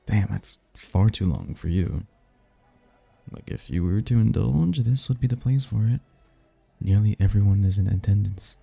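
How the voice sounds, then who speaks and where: Smug, flirtatious demon prince played by a male actor, very dark husky voice, close dry sound, for ASMR roleplay. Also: no echo, full character voice. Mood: observant, coaxing. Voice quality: low, gravelly, husky. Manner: teasing, smug, drawn-out flirtatious delivery. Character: smooth-talking seductive male demon prince, playful, teasing, bored. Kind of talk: audio roleplay, ASMR-style voice acting.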